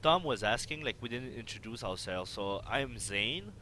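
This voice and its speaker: A young man from Montreal, co-casting a strategy game in a casual, hesitant way with lots of fillers. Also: moderate pace. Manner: hesitant, filler-heavy, lively pitch. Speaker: co-caster. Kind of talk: casual esports commentary. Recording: faint background noise.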